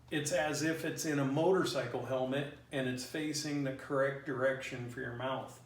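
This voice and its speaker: Slow-talking man in an echoey room. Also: mid-range voice. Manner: plain-spoken, informal, colloquial. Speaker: hobbyist presenter demonstrating a DIY headset build. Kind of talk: casual unscripted how-to explanation. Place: roomy garage. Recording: roomy echo, distant mic, faint noise.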